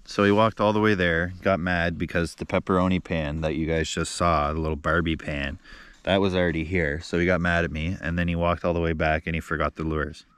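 A man's voice: low voice, steady tone, fairly quick, fairly bright tone, smooth, dry recording. A man hosting a vlog outdoors on a frozen lake, chatting casually, teasing his friend and laughing often. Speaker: vlog host.

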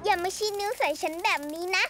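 A woman's voice: very high voice, fast. A woman voicing a bossy, petulant young villain girl who scolds and commands in a children's cartoon. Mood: commanding. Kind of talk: children's cartoon dub acting. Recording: music under the dialogue.

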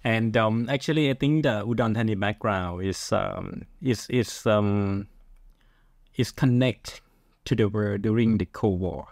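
A man's voice: fairly low pitch, measured pace, gravelly, a little mellow, no echo, clean studio sound. A middle-aged man from Thailand with a Thai accent, answering casually as an interview guest, often searching for words. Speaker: podcast guest, film director.

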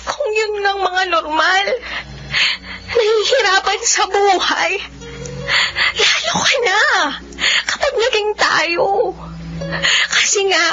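A woman's voice: very high-pitched, slow, long pauses. An actress playing a young woman who resists love, emotional and guarded, in a radio romance drama. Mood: tearful, anguished. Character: anguished blind young woman refusing love. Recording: muffled audio under loud music.

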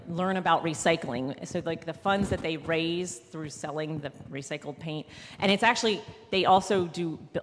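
Casual woman reporting to her congregation, slightly low voice, rambling and self-correcting, long church reverb trailing behind her words. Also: slight background noise. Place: large church sanctuary.

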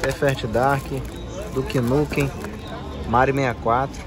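A man with a Carioca accent vlogging from an outdoor flea market, talking excitedly in quick slangy bursts and exclaiming often. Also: medium pitch. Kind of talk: casual handheld market vlog. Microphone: phone camera held in hand.

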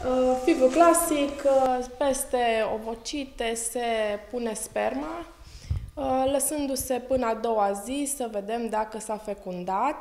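A woman's voice: high-pitched, vocal fry, darkish tone. Young romanian lab woman explaining embryo stuff, friendly, lots of uhs. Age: young adult. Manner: friendly, matter-of-fact, with many hesitations. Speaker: clinic embryologist. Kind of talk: interview answers. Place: fertility lab, indoors. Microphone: handheld reporter mic.

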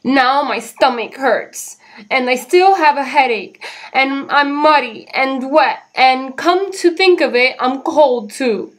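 A woman's voice: high voice, takes it slowly, voice pushed up. A woman voicing a grumpy young male monkey in a storybook, snappy and full of complaint. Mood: grumpy, exasperated.

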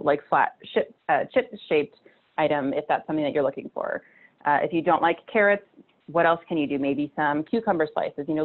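A woman in her thirties giving a casual nutrition talk over slides, chatty, with many fillers and restarts, from a small room at home. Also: low voice. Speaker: nutrition presenter. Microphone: video call webcam. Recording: tinny phone-like video call audio.

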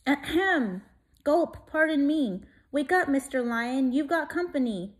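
A woman doing a squeaky shy little mouse. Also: expressive, unhurried. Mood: nervous, polite. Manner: nervous, polite, hesitant. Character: small timid male mouse, shy but brave.

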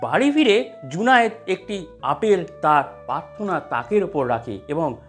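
A man narrating a scripted animated story in an expressive storytelling manner. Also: on the high side, normal speed. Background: music.

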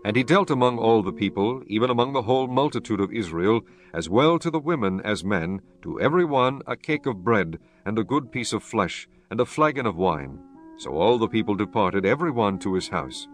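A man narrating scripture from a script in a formal, measured manner. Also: lowish voice, animated, soft background music.

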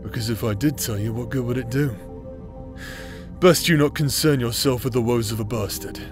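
A man playing a foul-mouthed, prickly injured bastard warrior in a roleplay audio drama, gruff and sarcastic. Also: mid-range voice, moderate pace, music in the background, natural voice. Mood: guarded.

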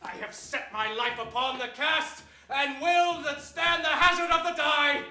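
Villainous Shakespearean king, very high-pitched, grand declamation, slow delivery, roomy theatre stage. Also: fairly even intonation, bright, forceful tone, a little hiss or hum, exaggerated voice. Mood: desperate, defiant. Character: villainous warlike king, scornful and desperate in battle.

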